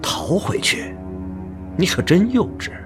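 An actor playing a brotherly but stern army officer in a Chinese radio drama, with emphatic, expressive delivery. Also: male voice, high voice, measured pace, over music, heavily acted. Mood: stern, warning. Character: hearty older army officer, brotherly yet stern male friend.

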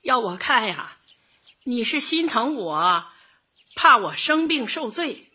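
A woman's voice: fairly high pitch, sing-song, takes it slowly, bright, forceful tone, slightly rough, full character voice. A female actor playing a plucky, folksy, stubborn middle-aged housewife in a comic radio drama, with feisty colloquial speech. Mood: sulky defiance. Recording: dull lo-fi audio.

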